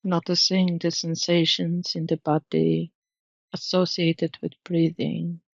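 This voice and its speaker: A woman leads a guided meditation at a very unhurried pace. Her voice has a noticeable creak and a gentle, soothing delivery. The recording is dry and close, with a slightly muffled tone. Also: lowish voice, level tone, no room sound. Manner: soothing, gentle, measured instruction.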